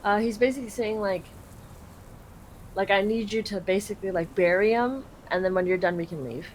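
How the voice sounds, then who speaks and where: A young woman reads and translates a game aloud in a casual, informal way. Her voice sits at a middling pitch. There is clear background noise on the recording. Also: fairly quick. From Canada. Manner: informal, swearing, paraphrasing. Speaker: live translator on a game play-through. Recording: clearly noisy home recording.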